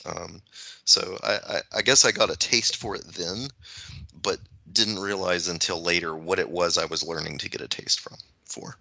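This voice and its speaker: Very deep, bright-edged man, halting. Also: fairly even intonation, vocal fry, no room sound, dull audio. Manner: halting, searching phrasing with repeated words. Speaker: podcast panelist. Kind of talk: reflective podcast discussion.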